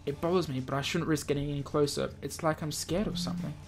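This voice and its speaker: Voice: medium pitch, steady tone, quick pace, faint music behind. A video game host reading a visual novel aloud, stumbling over words and dropping in jokey offhand comments. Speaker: let's play video host.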